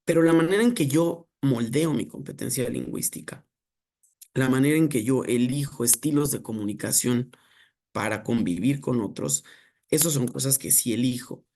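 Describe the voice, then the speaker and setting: Guy lecturing at a colloquium, gravelly voice, slow and thoughtful with lots of ehs. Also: mid-range voice, dark tone. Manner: measured, reflective, with frequent filler pauses. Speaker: speaker at an academic colloquium. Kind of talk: academic lecture.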